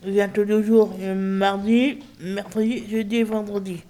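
A woman in her late fifties introducing herself slowly, listing things one by one. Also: medium pitch, flat delivery, dark tone. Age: 50s. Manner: slow, listing days one by one. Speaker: day centre participant.

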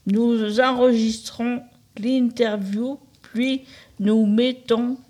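Slow, halting woman reading aloud. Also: fairly high pitch, fairly even intonation, darkish tone. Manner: halting, word-by-word delivery. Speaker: radio show participant. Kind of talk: reading prepared text aloud.

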